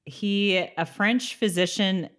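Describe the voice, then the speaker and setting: A woman's voice: medium pitch, slow, fairly bright tone, smooth. A woman co-hosting a podcast in casual, informal, bantering chat. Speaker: podcast co-host.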